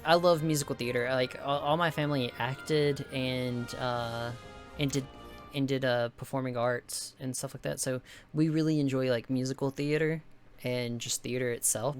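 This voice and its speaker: Rambling, swearing young streamer. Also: male voice. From American South. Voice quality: higher-pitched voice. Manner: rambling, heavy swearing, many restarts and fillers. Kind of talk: casual chat with stream viewers.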